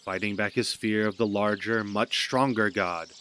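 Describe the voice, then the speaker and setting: A man's voice: on the low side, fairly even intonation, normal speed, fairly bright tone. A man narrating a scripted audio drama as a storyteller. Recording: noticeable background noise.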